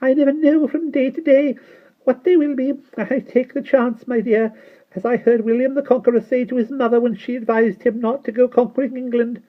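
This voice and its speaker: A reader putting on a shrill tiny ancient crone. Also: little pitch movement, a little fast, phone-quality sound, close to an everyday voice. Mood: chattering. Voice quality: shrill, high little voice. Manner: cackling, swings from sweet to fierce. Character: tiny ancient shopkeeper woman, shrill and bossy. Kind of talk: storybook reading.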